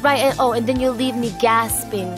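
Woman playing a high-voiced sarcastic clubgoer. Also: fairly even intonation, moderate pace, over music, natural voice. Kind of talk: video game character acting.

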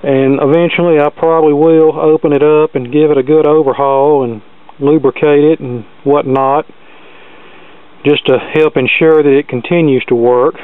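Narrow-band, tinny audio: male demo host, slightly high-pitched, folksy and unpolished, casual hands-on delivery. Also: fairly even intonation, moderate pace, clear, resonant tone, no echo. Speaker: host demonstrating vintage electronics. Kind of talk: casual hands-on gadget demonstration. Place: cluttered indoor workbench.

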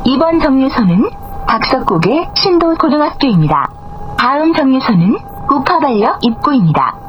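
A woman reading scripted bus announcements in a formal, clearly enunciated way, on noisy, muffled audio. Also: sits high, sing-song, normal speed. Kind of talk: scripted public transit announcement.

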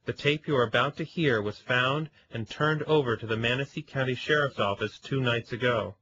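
A man speaking as a producer, reading a formal, serious announcement in a measured way. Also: medium pitch, pushed and bright, lightly acted. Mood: sober, formal. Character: sober male producer reading a public appeal. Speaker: executive producer making an announcement. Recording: slightly dull lo-fi audio.

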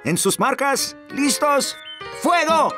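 A man's voice: extremely high pitch, animated, slow, music in the background, full character voice. A man playing a jolly grown-up who announces children's games to a group in a cartoon. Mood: rousing.

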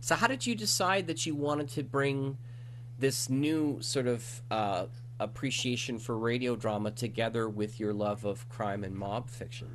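A man with a medium-pitched voice works as an interviewer on a podcast. He talks casually and conversationally. There is a faint hum behind his voice. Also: a little slow. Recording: faint background noise and hum.

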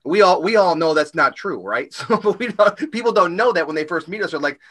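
A fairly high-voiced man in his forties co-hosting an interview podcast. He talks fast and in a rambling, energetic way as he sets up his questions. His voice is bright and forward. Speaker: podcast co-host.

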